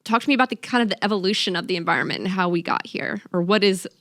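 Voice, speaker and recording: A woman's voice: medium pitch, racing, a bit bright, no room sound, studio quality. A woman hosting an interview, chatting casually with informal, tentative phrasing and plenty of "like". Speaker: interview show host.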